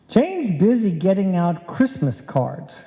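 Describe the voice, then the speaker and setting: Slow high-voiced wry radio-comedy husband played by a man. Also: mellow, exaggerated voice. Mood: dry, put-upon. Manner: dry, wisecracking. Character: wry deadpan husband narrating his domestic comedy. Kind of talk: old-time radio comedy acting. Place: on stage in a live performance. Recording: live hall recording, long reverb.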